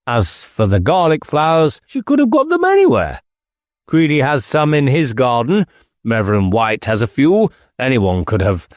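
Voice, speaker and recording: A man's voice: medium pitch, wildly swooping pitch, normal speed, no room sound, clean studio sound, pitched above the performer's own voice. A man voicing an evasive, whimsical English country squire in an audiobook, with airy, affected manner and clipped phrases. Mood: defensive, flustered. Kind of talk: audiobook character dialogue.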